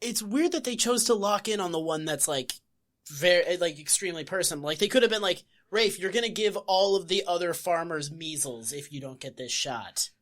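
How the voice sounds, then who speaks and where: A woman's voice: fairly low pitch, a little fast. A woman co-hosting a podcast, retelling scenes sarcastically. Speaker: podcast co-host. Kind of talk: casual podcast chat.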